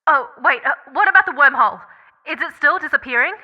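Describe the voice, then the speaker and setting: A woman's voice: sits high, expressive, quick pace, muffled recording. A woman playing an earnest, urgent character in a sci-fi audio drama, speaking with intensity. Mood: anxious, urgent.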